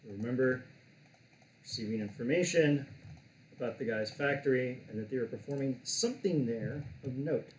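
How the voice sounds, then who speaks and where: A man's voice: mid-range voice, normal speed, a little hiss or hum. Guy running a tabletop game, laid back rambling narration, echoey room. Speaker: game master.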